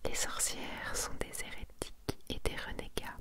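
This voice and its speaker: A woman whispering an ASMR presentation in a breathy, intimate voice, moving between reading aloud and casual unhurried commentary. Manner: soft-spoken, intimate, unhurried. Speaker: ASMR host presenting a manga collection.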